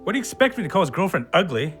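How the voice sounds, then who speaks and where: An excitable, foul-mouthed drinking buddy in an audio drama. He talks fast, with music playing clearly behind him. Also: sits high, full character voice. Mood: urgent.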